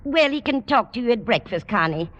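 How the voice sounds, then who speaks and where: A woman's voice: on the high side, animated, fairly quick, natural voice. An American actress playing a kindly, fussy, motherly older landlady, chatty, in an old radio comedy. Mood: fussing. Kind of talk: 1940s-50s radio comedy acting. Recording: muffled old radio recording, background noise.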